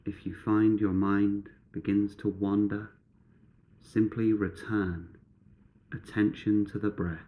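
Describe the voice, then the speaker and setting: A man guiding a meditation in measured, instructive short phrases with pauses between them. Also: fairly low pitch, little pitch movement, dark tone. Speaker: meditation guide.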